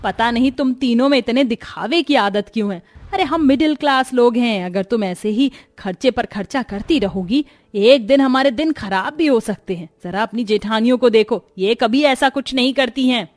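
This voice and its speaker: Woman voicing an older cartoon mother-in-law, stern and worried, scolding her daughters-in-law in a raised, fairly high voice, dry close sound. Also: normal speed, few pauses, no echo, natural voice. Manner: scolding, pleading. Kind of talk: cartoon voice acting.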